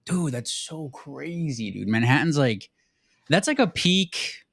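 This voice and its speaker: A man's voice: fairly high pitch, big pitch swings, takes it slowly, creaky, fairly bright tone, no echo. Laid-back man saying "dude", dry sound. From southern Arizona. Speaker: podcast host. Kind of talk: casual chat.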